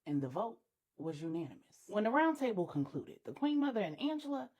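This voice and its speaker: A woman storyteller delivering dramatic scripted narration with deliberate, emphatic pauses. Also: mid-range voice, sing-song, darkish tone, slightly rough.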